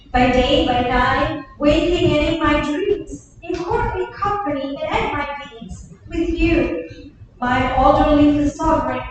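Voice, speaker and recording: A woman playing young Queen Margaret, humble and devoted, in a staged Shakespeare reading, with deliberate, earnest phrasing. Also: sits high, a little hiss or hum. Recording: echoey hall, mic far off.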